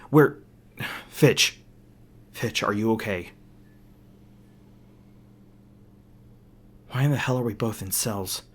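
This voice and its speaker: A man's voice: on the low side, fairly bright tone, husky, full character voice. A man playing an edgy, hot-tempered monster hunter in an audio roleplay, sweary, defensive and sardonic. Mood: confused, alarmed. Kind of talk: ASMR audio roleplay acting.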